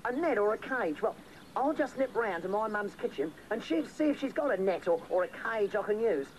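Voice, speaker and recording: A man voicing an excitable, boastful puppet in an interactive children's show, teasing and exclaiming to the audience. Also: high voice, a little fast, crowd noise. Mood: boastful, chatty. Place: outdoors at a puppet booth. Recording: noisy outdoor puppet show recording.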